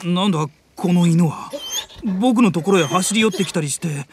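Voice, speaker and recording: A man's voice: high-pitched, normal speed, a little hiss or hum, close to an everyday voice. A man playing a proud, stiff and irritable young older brother in an audio drama, blunt and indignant in manner. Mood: puzzled, grumbling. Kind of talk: animated Japanese audio drama acting. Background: music and animal sounds.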